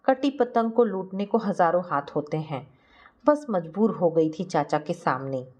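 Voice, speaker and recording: A woman's voice: fairly low pitch, lively intonation, a little slow, a little mellow. A woman voicing a humble, wronged young woman who speaks with plaintive resignation in a story. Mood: anguished, resigned.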